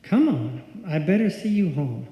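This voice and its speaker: A man's voice: a little high, big pitch swings, unhurried, warm tone. A man playing a friendly, protective small-town policeman in live old-time radio drama style. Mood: genial, concerned. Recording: echoey hall, dull lo-fi sound.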